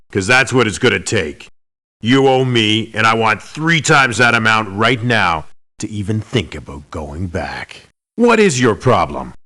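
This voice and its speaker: A man's voice: on the low side, pushed and bright, full character voice. An actor playing a cocky, demanding male elite mercenary in a video game, speaking bluntly with clipped indignation. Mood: indignant. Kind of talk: video game character voice lines.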